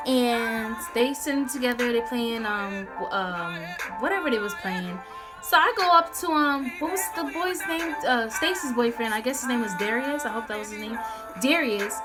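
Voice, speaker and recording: A young woman with an American accent and a very high-pitched voice tells a casual story straight to her viewers. She is animated and slangy, slipping into acted-out dialogue as she goes. Music plays along behind her voice. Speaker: storytime vlogger.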